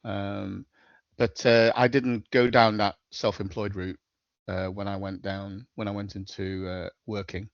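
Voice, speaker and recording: A middle-aged man with a Scouse accent speaks in a low voice as a podcast guest, matter-of-fact and full of small hesitations. The recording is dry and close, with a slightly dull, lo-fi tone. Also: little pitch movement, a little slow, gravelly, dry recording. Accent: Liverpool (Scouse). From Liverpool, England. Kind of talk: casual interview chat.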